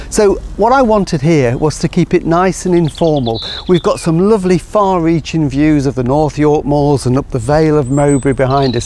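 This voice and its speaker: A middle-aged man giving a relaxed, enthusiastic guided tour of his garden outdoors, explaining as he walks. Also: fairly high pitch, slight background noise. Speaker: gardener and show host.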